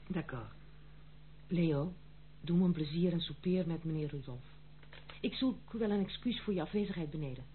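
An actress playing a dutiful, stern but kind granddaughter in an old Dutch radio play, speaking formally and gravely. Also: female voice, on the low side, moderate pace, pauses often, lightly acted. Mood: firm concern. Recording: muffled phone-like old radio recording, faint hum.

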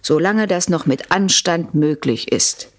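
An actress in a German radio play as a calculating wife who speaks softly and soothingly while coldly poisoning her husband, her voice husky and breathy. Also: lowish voice, a little slow, close to an everyday voice. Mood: urging, businesslike. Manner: soft, coaxing, controlled.

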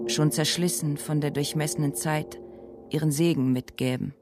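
A woman narrating a literary radio drama with deliberate, wry commentary. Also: low-pitched, steady tone, over music.

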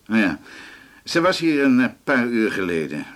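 An actor playing a sensible, patient police officer in a radio drama, reasonable and matter-of-fact. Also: male voice, mid-range voice, sing-song, moderate pace, close to an everyday voice. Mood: businesslike. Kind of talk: naturalistic radio drama acting.

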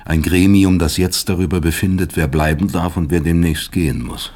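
An actor in a German radio play playing a proud, bitter older East German professor of psychiatry, articulate, lecturing and sardonic. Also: male voice, low voice, steady tone, moderate pace, a little mellow, natural voice. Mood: defensive, dignified.

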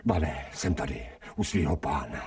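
An actor playing a vain, fawning, self-pitying stray dog musing colloquially to himself in a radio drama. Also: male voice, very deep voice, lively intonation, a little mellow, breathy edge, dry recording, far from the mic, heavily acted. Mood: smug, adoring. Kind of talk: radio drama inner monologue.

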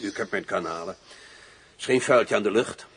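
An actor playing a wary, suave general in a radio drama, speaking in a theatrical, insinuating way. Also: male voice, fairly low pitch, normal speed, natural voice. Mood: cunning, businesslike. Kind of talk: Dutch radio drama acting. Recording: noisy old radio drama recording.

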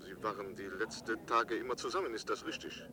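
An actor playing a polite police inspector in a German radio drama, formal and hesitant. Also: male voice, fairly low pitch, fairly quick, crowd noise, lightly acted. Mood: official, grave. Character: polite, halting French police inspector on the phone.

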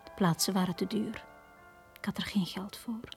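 A Flemish actress playing a lonely, downtrodden young bar girl in a radio drama, speaking in a hushed, halting, confiding way. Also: mid-range voice, steady tone, faint music behind, lightly acted. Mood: melancholy. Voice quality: breathy, hushed.

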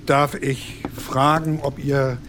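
An actor playing a rough, superstitious middle-aged miller in a radio play, blunt at home and humble before his learned guests. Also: mid-range voice, little pitch movement, takes it slowly, fairly bright tone, slight background noise. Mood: deferential. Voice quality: gravelly. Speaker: miller and father.

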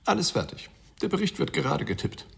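A man's voice: on the high side, sing-song, brisk, gravelly, a bit bright, heavily acted. A man playing a sardonic, unfazed forensic pathologist in a German radio crime drama, wry, theatrical and matter-of-fact.

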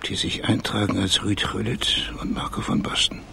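An actor playing a sleuth in a German radio crime drama, with a gravelly voice and a terse, measured, matter-of-fact manner. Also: male voice, fairly low pitch, flat delivery, pushed and bright, a little hiss or hum, exaggerated voice. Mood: calm, grave. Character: low-voiced male sleuth calmly reconstructing a murder.

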